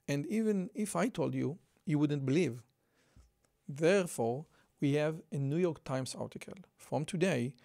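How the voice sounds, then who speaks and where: A man's voice: a little high, gravelly, darkish tone, no room sound, clean studio sound. A man with a thick Israeli accent, hosting a podcast and giving earnest commentary on a news article, repeating his points for emphasis. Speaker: podcast host.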